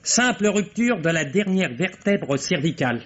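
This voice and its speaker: An actor playing an eager, deferential young police assistant in an old French radio mystery, reporting precisely and formally. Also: male voice, high-pitched, very animated, normal speed, fairly bright tone, exaggerated voice. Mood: precise, factual. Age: young adult. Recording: dull old radio drama recording.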